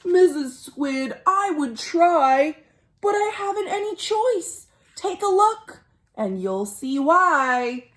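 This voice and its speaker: A woman voicing a gloomy, pouting male fish in a mournful, drawn-out storybook rhyme. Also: very high-pitched, very animated, a bit bright, voice pushed up, full character voice. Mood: glum, resigned. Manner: mournful, rhyming, drawn-out delivery.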